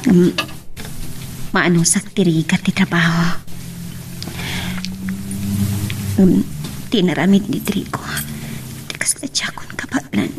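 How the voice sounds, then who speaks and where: A woman's voice: medium pitch, background noise, lightly acted. A woman playing a suffering, plaintive wife and mother in an Ilocano audio drama. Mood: weary, uneasy. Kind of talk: Ilocano radio drama acting.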